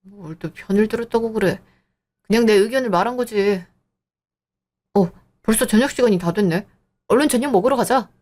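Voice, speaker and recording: Young man, placating, gentle, audio drama, voiced by a woman. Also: medium pitch, moderate pace, pauses often, natural voice. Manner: soothing, placating. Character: young adult man, gentle peacemaking fiancé.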